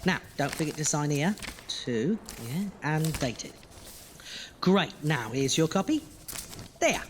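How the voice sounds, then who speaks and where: A man's voice: fairly high pitch, lively intonation, slow pace. A man playing a sly, whiny lab assistant who bargains stubbornly and cracks wise in a comic horror audio drama. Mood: cheeky. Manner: wheedling, petulant, wisecracking. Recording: reverberant, noisy audio drama mix.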